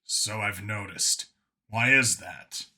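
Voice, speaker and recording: A guarded human cop in a science fiction audiobook, played by a man, speaking a touch low and plainly. He stays polite and wary, with a clear, bright tone. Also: slow pace, exaggerated voice. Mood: curious. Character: wary human male cop among aliens, polite, puzzled.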